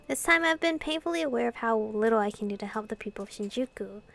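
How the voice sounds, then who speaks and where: A woman doing an informal, expressive playthrough of a visual novel, reading and commenting as host. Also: fairly high pitch, fast, creaky, soft background music. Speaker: gaming video host.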